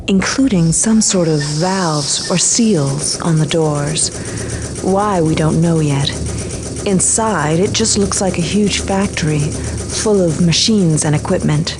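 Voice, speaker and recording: Grave, low-voiced mysterious ally. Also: female voice, takes it slowly, background noise. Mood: cool, serious. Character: grave, composed woman, mysterious informed ally of a thief. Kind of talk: video game cutscene acting.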